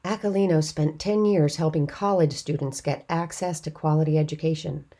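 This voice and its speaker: Female podcast interviewer with a low-pitched voice, for questions and narrated links. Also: steady tone, normal speed, warm tone.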